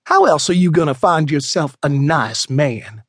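A man voicing a bossy, folksy woman who runs a shop kitchen, scolding loudly in down-home slang. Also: fairly high pitch, lively intonation, a little slow, no echo, studio quality. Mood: teasing.

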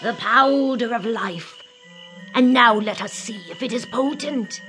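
A woman's voice: a little high, unhurried, a bit bright. A woman playing a wicked, scheming old witch in a radio drama, cackling and theatrical. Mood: sly, eager. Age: older. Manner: theatrical, gleeful, scolding. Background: music.

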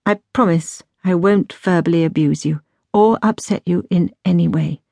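Woman doing a kind apologetic big sister in an audiobook, earnest and soft-mannered, no room echo. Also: on the low side, moderate pace, gravelly. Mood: earnest, affectionate. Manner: sincere, apologetic, gentle. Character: softened, remorseful eldest sister, kinder after grief. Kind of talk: audiobook character dialogue.

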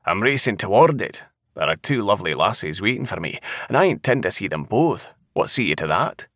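A man playing a proud, defiant clansman, gruff and emphatic, in a dramatic audiobook. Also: on the low side, big pitch swings, brisk, fairly bright tone, no room sound, studio quality. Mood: defiant bravado. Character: proud, defiant, brooding male Scottish clansman.

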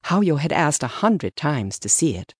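A woman's voice: sits low, very animated, moderate pace, breathy edge, no room sound, studio quality. A woman reading scripted story narration as an audiobook narrator.

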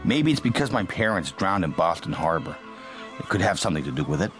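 A man's voice: low-pitched, big pitch swings, a little fast, faint music behind. An actor playing a calculating, detached young American man, narrating dryly and confidingly in a radio drama. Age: young adult.

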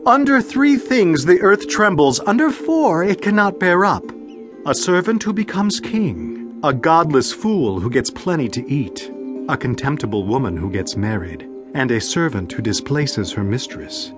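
An actor playing a solemn ancient sage, reciting scripture in a theatrical, deliberate and emphatic manner in a dramatized audio Bible. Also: male voice, on the high side, very animated, music in the background. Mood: declamatory. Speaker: Bible narrator and reader.

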